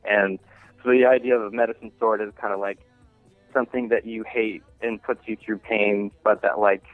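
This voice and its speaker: Low-voiced man on a phone-like line. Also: flat delivery, dry recording, soft background music. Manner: halting, informal, rambling thought by thought. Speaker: interviewed singer-songwriter and music teacher. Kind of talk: casual radio interview talk. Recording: thin phone-line sound.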